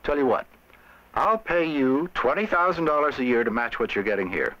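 An actor playing an older business investor, formal and businesslike, in a 1970s educational film. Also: male voice, medium pitch, expressive, normal speed, sharp tone. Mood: probing. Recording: dull old film soundtrack.

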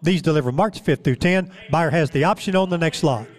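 A man's voice: fairly high pitch, fairly quick. Man announcing a lot, heard from off-mic. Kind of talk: reading lot details.

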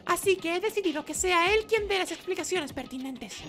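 Man putting on a very high, stern teenage girl. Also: animated, fairly quick, sharp tone, soft background music, heavily acted. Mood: cool reproach. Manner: cool, matter-of-fact.

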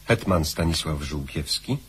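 Formal male announcer, low, very raspy, slow and deliberate. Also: lively intonation. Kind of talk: formal scripted cast announcement.

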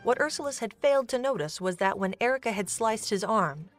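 A woman's voice: fairly high pitch, animated, brisk. A woman narrating a scripted dramatic story as the narrator of an audio drama. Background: music.

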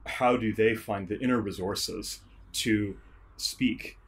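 A man speaking as an interview guest, giving measured, articulate analysis as a theatre scholar and director. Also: lowish voice, steady tone, a bit bright, a little hiss or hum. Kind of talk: thoughtful analytical interview talk.

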